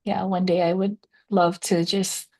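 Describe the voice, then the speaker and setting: A woman's voice: lowish voice, little pitch movement, unhurried, warm tone. Tentative woman guest on a podcast. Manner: tentative, hesitant with many fillers. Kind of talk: conversational interview.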